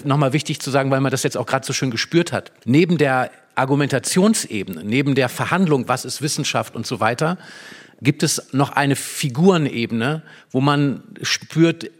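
Mid-pitched man explaining drama conversationally. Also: moderate pace. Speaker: drama expert commenting on a scene. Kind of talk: conversational analysis.

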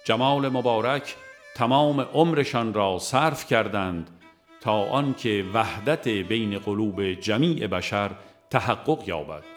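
A man with an Iranian Persian accent reads a religious audiobook in a measured, formal, literary manner. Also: fairly low pitch, animated, faint music behind. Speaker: audiobook narrator. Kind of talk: scripted narration of a religious book.

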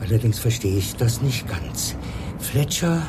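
An actor playing an uneasy small-time crook in a German radio crime drama, speaking in a wary, defensive and urgent way. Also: male voice, mid-range voice, big pitch swings, a little slow, background noise. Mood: tense. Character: uneasy small-time male crook seeking police protection.

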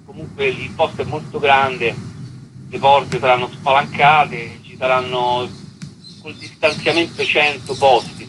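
A man's voice: medium pitch, fairly even intonation, a little slow, fairly bright tone, slight background noise, low-quality recording. A man being interviewed casually as a writer and stage actor, hesitant and often repeating words as he thinks.

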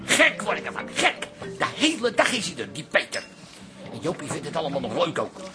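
A woman's voice: sits low, wildly swooping pitch, a little fast, over music. An actress playing a blunt, exasperated mother who complains emphatically in a radio comedy-drama.

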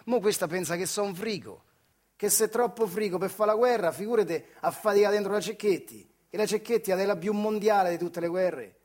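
A man with a central Italian accent from the Marche, performing a theatrical radio monologue in colloquial dialect with dramatic pauses. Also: sits high, darkish tone. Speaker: stage actor and storyteller performing a monologue.

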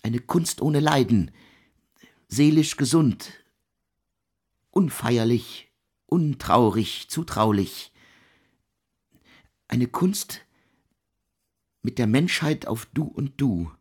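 An actor playing a proud, intellectual German composer in a radio drama, speaking in earnest, eloquent, deliberate phrases. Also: male voice, mid-range voice, lively intonation, darkish tone, breathy edge, heavily acted. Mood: visionary, fervent. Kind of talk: literary radio drama dialogue.

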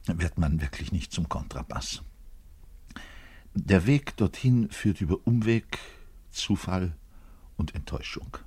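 An actor playing an embittered, self-pitying middle-aged double bassist in a rambling, theatrical radio monologue, pedantic and given to muttering and indignant declaiming, with a husky voice. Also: male voice, a little mellow, heavily acted. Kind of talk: theatrical radio play monologue, confiding to a listener.

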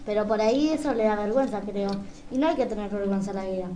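A woman's voice: mid-range voice, fast talker, dark tone. A woman with an Argentine accent hosting an informal live radio debate. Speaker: radio programme host. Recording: noisy live radio recording.

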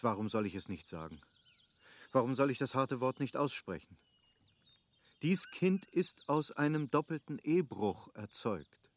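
An actor playing a passionate, tormented husband in a German radio play, speaking with solemn, declamatory fervour. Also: male voice, medium pitch, natural voice. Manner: fervent, solemn, declamatory literary speech. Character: passionate, tormented husband confessing forbidden love.

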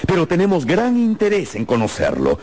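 An actor playing a flustered, evasive opera director in a radio drama. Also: male voice, a little high, wildly swooping pitch, moderate pace, darkish tone. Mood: indignant.

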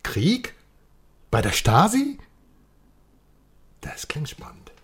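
An actor playing a brash, cynical, nosy journalist in a radio crime drama, colloquial and theatrical, swinging between muttering and outbursts. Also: male voice, a little high, huge pitch swings, extremely slow, a bit bright, husky, full character voice. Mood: teasing, curious.

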